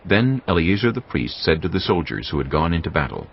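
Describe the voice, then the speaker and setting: A man's voice: sits low, big pitch swings, brisk, breathy edge, highs cut off. A man narrating scripture from a script as the narrator of a dramatised reading. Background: music.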